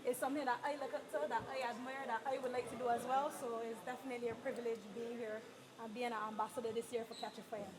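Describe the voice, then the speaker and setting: A woman with a Barbadian accent, a guest singer interviewed at a live outdoor event, bright and enthusiastic. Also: on the high side, little pitch movement, fast talker. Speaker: guest artist, birthday ambassador. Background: crowd chatter.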